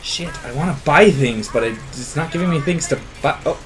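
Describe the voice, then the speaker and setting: A man's voice: on the high side, brisk, fairly bright tone, slight background noise. A man playing a video game and chatting with friends, joking casually in a crude, goofy way. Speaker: video game player in a group let's play.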